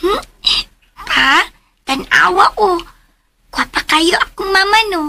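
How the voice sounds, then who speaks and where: A woman's voice: extremely high pitch, lively intonation, takes it slowly, creaky, pushed and bright, full character voice. A woman playing an eager little girl. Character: young girl longing for her mother. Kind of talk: radio drama acting.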